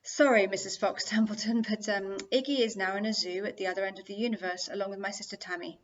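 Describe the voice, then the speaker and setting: A woman's voice: medium pitch, fairly even intonation, fast, lo-fi sound, a little hiss or hum, natural voice. A woman voicing an earnest young boy hero who is frightened and apologetic, in an audiobook. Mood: sheepish, sorry. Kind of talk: audiobook character dialogue.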